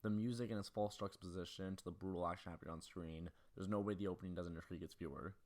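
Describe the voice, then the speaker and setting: Fast-talking young man, very deep voice. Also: fairly even intonation, darkish tone, soft background music. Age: early 20s. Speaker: video essay narrator. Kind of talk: scripted video essay narration, film critique.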